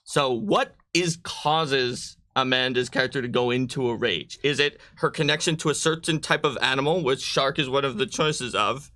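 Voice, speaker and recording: A man with a very bright, forward voice at a middle pitch. He casually walks players through game rules and choices as a live stream host. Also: moderate pace, few pauses. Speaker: game host guiding character creation.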